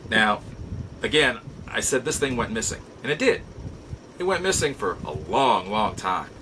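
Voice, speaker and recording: A middle-aged man hosting a show, telling nostalgic stories casually in a halting, reflective way with emphatic repeats. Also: on the low side, sing-song, sharp tone, a little hiss or hum, poor audio. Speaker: show host. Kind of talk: casual nostalgic storytelling to viewers.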